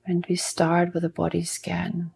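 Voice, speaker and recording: A woman leading a guided mindfulness meditation in a soft, soothing manner, unhurried and leaving long pauses between instructions. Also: fairly low pitch, monotone, gravelly, breathy edge, faint music behind.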